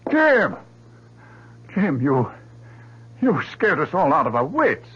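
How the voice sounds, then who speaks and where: An actor playing a paternal, insistent admiral with concerned authority and emphatic delivery in a 1970s radio drama. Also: male voice, a little high, huge pitch swings, takes it slowly, noisy background, full character voice. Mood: alarmed, insistent. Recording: dull vintage radio recording.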